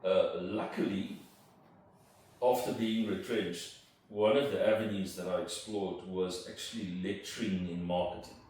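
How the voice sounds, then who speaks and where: Deep-voiced man, echoey room. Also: fairly even intonation, darkish tone. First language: Afrikaans. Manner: thoughtful, halting with fillers and restarts. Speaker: interviewed entrepreneur. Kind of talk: reflective interview answers. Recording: roomy, distant mic with room noise.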